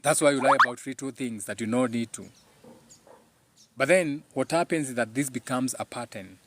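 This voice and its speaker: A man's voice: medium pitch, moderate pace, a bit bright, a little hiss or hum. A man with a Kenyan accent and Kikuyu as his first language, speaking informally as the host of a live talk, emphatic and preachy, often repeating his phrases.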